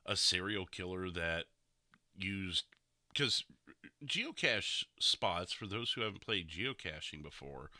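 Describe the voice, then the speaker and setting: A man's voice: deep voice, expressive, takes it slowly, pushed and bright, no echo, clean studio sound. A man chatting casually on a game stream as host, thinking aloud as he goes.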